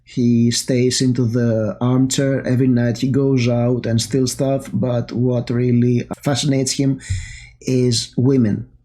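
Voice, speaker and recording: A man talks through a horror story in a casual review, his voice mellow and warm. He speaks at a middling pitch and keeps a loose, chatty flow, dotted with "uh" and "um" as he goes. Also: level tone, slow pace. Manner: chatty, filler-heavy retelling. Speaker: host.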